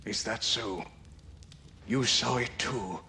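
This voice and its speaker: An actor playing a guarded older caretaker and former sailor in a game cutscene, speaking in a measured way. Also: male voice, medium pitch, gravelly, pushed and bright, a little hiss or hum, heavily acted. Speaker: game character.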